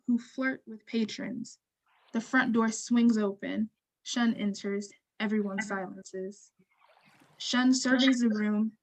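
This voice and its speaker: Woman reading stage directions, kinda high voice, very flat and neutral. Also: poor audio. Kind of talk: scripted narration.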